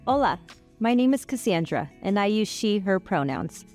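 A woman from Northern California, a clinical social worker, giving a warm, sincere scripted introduction. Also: mid-range voice, animated, moderate pace, faint music behind.

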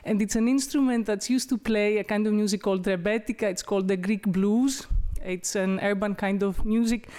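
A woman's voice: mid-range voice, steady tone, fairly quick, hardly any pauses, warm tone. Chatty woman professor explaining a poem. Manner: chatty and explanatory, with small laughs and restarts. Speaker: professor of Byzantine history and classics. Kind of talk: informal talk introducing a poem.

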